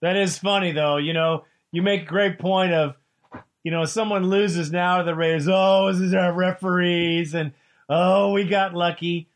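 A man hosting a sports talk radio show, excitable and preachy, trash-talking like a devoted fan. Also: high voice, normal speed, slight background noise.

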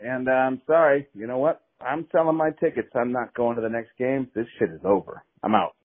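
A man's voice: mid-range voice, a little fast. A man calling in to a sports show, fed up and blunt. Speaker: caller. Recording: tinny phone line.

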